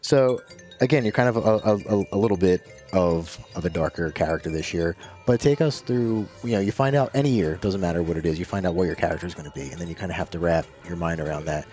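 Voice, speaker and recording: A man's voice: deep voice, quick pace, a little mellow, faint music behind. A man hosting a podcast, asking long, winding questions with many restarts in a casual chat. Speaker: podcast host.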